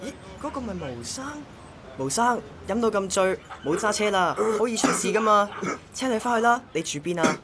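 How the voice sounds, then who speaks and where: A woman's voice: medium pitch, expressive, moderate pace, over music, close to an everyday voice. A woman with a Hong Kong Cantonese accent playing an obsessively devoted young male courier in a radio drama. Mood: friendly, solicitous.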